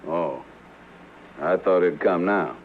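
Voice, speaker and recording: A man's voice: low voice, slow pace. An actor playing a cowardly, boastful small-time gunman in a radio western, with a rural American West accent and a petulant, defensive manner. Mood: nervous, meek. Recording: narrow-band old radio recording, faint noise.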